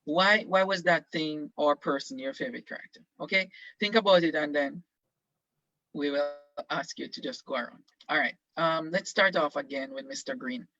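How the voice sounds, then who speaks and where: A man's voice: fairly high pitch, normal speed, sharp tone, no room sound. A male teacher leading an online class discussion, encouraging students and asking questions with many fillers. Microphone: video call. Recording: dull lo-fi video call audio.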